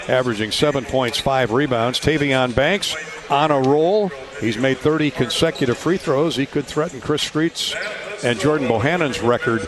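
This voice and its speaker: A man calls a game as a radio play-by-play announcer, his voice sitting at a middle pitch. His delivery pauses and hesitates between items, and music plays clearly behind him. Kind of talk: sports radio lineup commentary.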